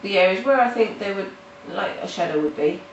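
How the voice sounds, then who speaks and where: A woman's voice: medium pitch, lively intonation, gravelly, highs cut off. Nasal woman talking through a coloring tutorial. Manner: halting, with restarts and fillers. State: nasal from hay fever. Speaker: art tutorial host. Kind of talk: casual step-by-step tutorial narration. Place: home in the countryside. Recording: roomy, mic at a distance, faint noise.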